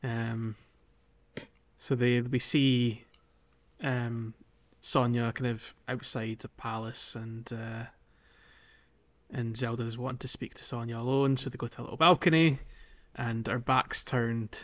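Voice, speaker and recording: A man co-hosting a casual gaming podcast, recapping in a halting, stammering way with frequent false starts. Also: medium pitch, flat delivery, fairly bright tone, highs cut off. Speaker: podcast co-host. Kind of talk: casual game recap chat.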